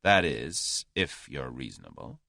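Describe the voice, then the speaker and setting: A man's voice: very deep voice, sing-song, unhurried, vocal fry, bright, forceful tone, no room sound, pitched below the performer's own voice, heavily acted. A man voicing a brash, confident American millionaire in an audiobook story, with an American accent and a dry, abrupt drawl. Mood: tough, wry.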